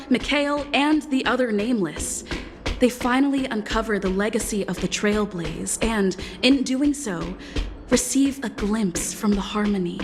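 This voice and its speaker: A woman's voice: a little high, close to an everyday voice. A woman playing a grand, composed storyteller narrating a scripted video game story recap. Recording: long reverb, music behind.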